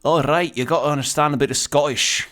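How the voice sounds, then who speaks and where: A man's voice: a little high, steady tone, quick pace, fairly bright tone. A man from Texas with a Midwestern American accent, chatting casually and swearing as he streams a game. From Texas, USA. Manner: rambling, sweary, talks while playing. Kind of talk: casual let's-play chat.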